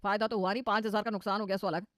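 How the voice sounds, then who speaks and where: A fed-up family man, put on by a woman in a cartoon moral story. He grumbles and scolds at a fast pace, and the sound is very dry. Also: medium pitch, flat delivery, fairly bright tone, no room sound, close to an everyday voice. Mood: grumbling. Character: fed-up men of a modest middle-class family.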